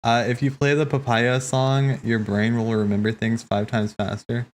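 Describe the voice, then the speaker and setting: A man hosting a watch party, chatting casually in an animated, slangy, teasing way. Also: medium pitch, normal speed. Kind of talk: casual live reaction chat. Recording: game music playing behind.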